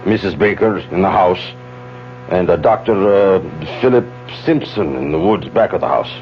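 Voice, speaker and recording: A muffled old radio recording with faint hum carries a plainspoken policeman. He reports in a low, widely swinging voice, matter-of-fact but puzzled. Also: animated, normal speed, faint music behind. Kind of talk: 1970s radio drama acting.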